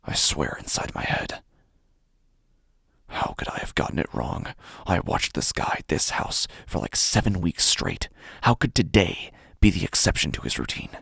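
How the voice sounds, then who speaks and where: A man playing a cold, obsessive, violent burglar who tells his own horror story in an intense, deliberate first-person audio drama narration. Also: sits low, sing-song, creaky, a bit bright, husky, no echo, clean studio sound, heavily acted. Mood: tense, frozen.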